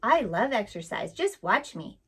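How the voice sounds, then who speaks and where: A woman's voice: a little high, big pitch swings, measured pace, a bit bright, slightly rough, heavily acted. A woman voicing a small, shy male elephant in a storybook, speaking earnestly and pleadingly. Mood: anxious.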